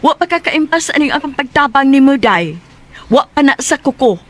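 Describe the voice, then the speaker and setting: A woman's voice: sits high, very animated. An actress playing a bossy young woman who pushes her twin sister for money. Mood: pushy. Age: young adult. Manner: pushy, quick bargaining.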